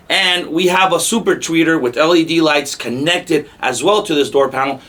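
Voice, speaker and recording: Male unboxing host, pitch a little above average, informal delivery with short repeated phrases, casual demo style. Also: a bit bright.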